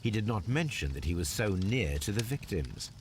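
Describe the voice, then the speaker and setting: Deep male voice, English accent, shrewd gentleman detective, formal, analytical, precise, animated intonation, video game monologue. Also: normal speed, background noise. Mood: deducing, assured.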